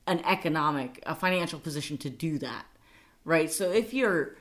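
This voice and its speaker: A woman's voice: low-pitched, expressive, normal speed. A woman co-hosting a casual money podcast, chatty and laughing often. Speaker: podcast co-host.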